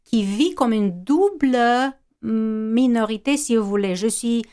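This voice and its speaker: A woman's voice: fairly high pitch, unhurried, mellow. A woman whose first language is not French, speaking on a podcast panel as a publisher and former teacher. She searches for words and restarts her sentences often.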